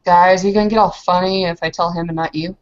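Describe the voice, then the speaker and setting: A brash young werewolf woman, played by a woman at a tabletop game. She is cocky and cheeky, swears casually and stays jovial. Her voice sits fairly low for a woman, on a slightly dull recording. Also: fairly even intonation, fast talker, natural voice.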